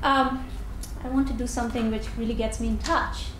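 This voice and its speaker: Chatty woman speaker from Bangladesh, high voice. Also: fairly even intonation, fairly quick, slight background noise. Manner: chatty, warm storytelling with many restarts and fillers. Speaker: guest speaker. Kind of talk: public talk. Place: lecture hall. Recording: echoey room recording.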